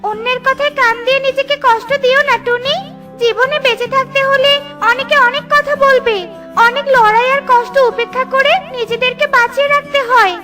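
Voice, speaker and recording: A magical talking tree in a children's cartoon, kind and grateful. The voice is piercingly high and bright, speaking with gentle reassurance over music. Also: female voice, fairly even intonation, a little fast. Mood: comforting. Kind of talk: children's cartoon story acting.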